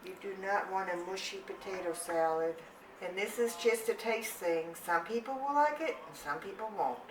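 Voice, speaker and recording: A woman's voice: mid-range voice, lively intonation, moderate pace, background noise. Older woman from germany walking you through a recipe in her kitchen, echoey room, mic a bit far off. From Germany, Rhineland-Palatinate. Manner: homey, chatty, step-by-step explaining. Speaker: cooking channel host. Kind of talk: casual cooking demonstration. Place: home kitchen.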